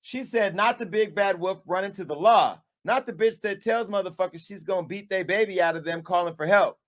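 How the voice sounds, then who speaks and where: A high-voiced man delivering a sassy callout. He swings between hushed, pointed asides and loud, punchy bursts, like a host laying out receipts for his audience. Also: brisk. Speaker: media company owner and host. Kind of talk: on-camera callout monologue.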